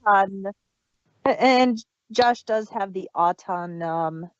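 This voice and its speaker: A woman's voice: mid-range voice, slow, no echo, muffled recording. A woman co-hosting a podcast, talking casually as a fan in discussion. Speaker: podcast co-host.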